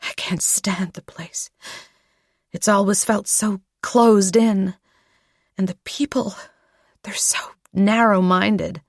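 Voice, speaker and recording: A woman's voice: medium pitch, unhurried, no echo, studio quality, voice pushed up. Woman voicing a weary grieving American daughter. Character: grieving adult daughter, guarded and bitter.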